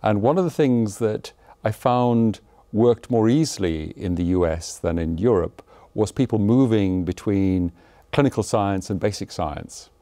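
Older academic man, studio interview, lapel mic, dry room, deep and warm. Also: a little slow, no room sound. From Zimbabwe and Malawi. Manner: self-deprecating, thoughtful, frequent hesitations. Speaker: cardiology professor, interview guest. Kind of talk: relaxed conversational interview. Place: studio set with a blue backdrop.

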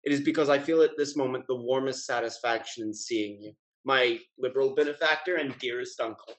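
A young man playing a frank, carefree spendthrift gentleman in a period stage comedy, theatrical and exclamatory. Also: mid-range voice, fairly quick, lightly acted. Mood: rueful, sincere. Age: young adult. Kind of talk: theatrical period comedy acting over video call. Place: indoor room at home with bookshelves. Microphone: computer mic on video call.

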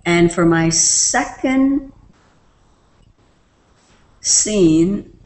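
Low-voiced woman walking through software. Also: very slow. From Canada. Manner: relaxed, step-by-step explaining with fillers. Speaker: online tutorial presenter. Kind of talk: screen-recorded software tutorial.

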